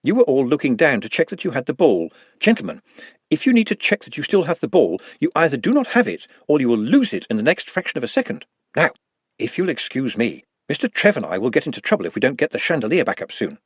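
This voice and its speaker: A timid but highly articulate little man, as a male narrator puts him on, formal and precise with a posh air. His husky voice swings widely in pitch, recorded dry and close. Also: wildly swooping pitch, fast talker, no room sound. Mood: lecturing.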